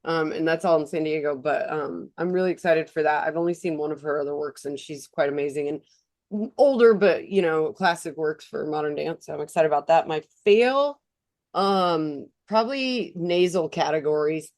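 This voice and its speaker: A woman's voice: low voice, moderate pace, darkish tone. A woman co-hosting a podcast in casual chat, stuffy-nosed and chatty, with many ums and uhs. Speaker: podcast co-host.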